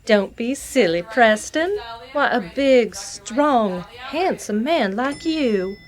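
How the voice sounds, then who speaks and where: An actress playing a coy, flattering Southern belle in an audio drama. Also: female voice, on the high side, takes it slowly.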